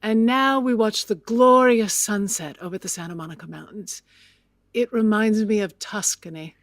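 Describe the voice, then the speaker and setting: A woman's voice: mid-range voice, moderate pace, no room sound, close to an everyday voice. Woman acting as wealthy older widow, imperious, indignant, formal period speech, audio drama, dry close sound. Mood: wistful. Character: proud, aggrieved older widow of a wealthy town founder.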